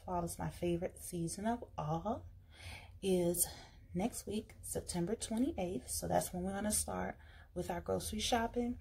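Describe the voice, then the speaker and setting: A woman talks through her plans at an indoor table in a casual vlog, chatty and full of fillers and restarts. Her voice is very husky with a gravelly fry, mellow and dark. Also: fairly low pitch. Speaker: vlogger. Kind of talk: casual vlog, planning meals while writing.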